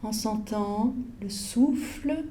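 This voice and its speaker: A woman yoga teacher leading a guided relaxation with calm, measured instructions and pauses between short phrases. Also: on the high side, a little hiss or hum.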